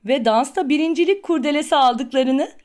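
Excitable little cartoon boy, played by a woman, very high, eager and childlike. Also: a little fast, natural voice. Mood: proud.

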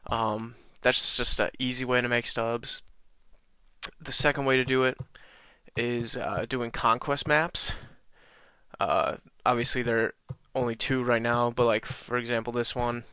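A young man with a slight cold-raspy voice, casually walking through a video game tutorial with lots of ums. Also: mid-range voice, a little slow, no room sound. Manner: relaxed, many ums and uhs, talks through menus. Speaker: gaming channel host.

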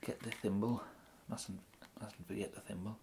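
A young man with an English accent softly whispering an ASMR roleplay as a polite, hesitant gentleman tailor. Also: lowish voice, fairly even intonation, normal speed, creaky, background noise. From England. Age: young adult. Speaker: ASMR performer playing a tailor.

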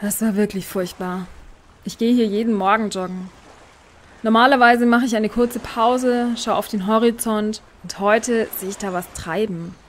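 A shaken female witness in a crime radio play, acted by a woman. She talks colloquially and hesitantly in a medium-pitched voice. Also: steady tone, normal speed, close to an everyday voice. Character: shaken female jogger, witness who found a body. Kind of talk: audio crime drama acting.

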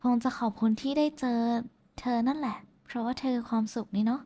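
Woman acting a shy, affectionate young woman, higher-pitched. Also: quick pace, mellow, close to an everyday voice. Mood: bashful. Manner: casual, hesitant, bashful. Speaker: VTuber voice actress. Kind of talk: intimate roleplay voice pack addressed to the listener.

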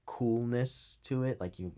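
A man's voice: medium pitch, measured pace, warm tone. A man co-hosting a podcast in a casual, informal chat style, with a joking streak. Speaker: podcast co-host.

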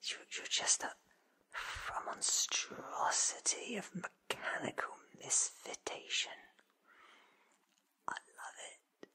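Eccentric time-travelling inventor played by a man, ASMR roleplay. Whispered, slow, deliberate, confident, sharp sibilant edge. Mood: intrigued. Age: 30s. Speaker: ASMR performer.

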